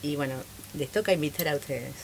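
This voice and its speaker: A woman hosting a casual radio interview, teasing her guests and quick to laugh. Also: deep voice, creaky, a little hiss or hum, low-quality recording. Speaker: radio host.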